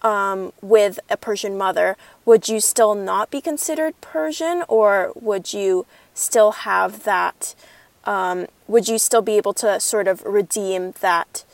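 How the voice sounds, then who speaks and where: A young woman interviewing a friend, asking questions hesitantly with many pauses and fillers. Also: medium pitch, creaky, no echo.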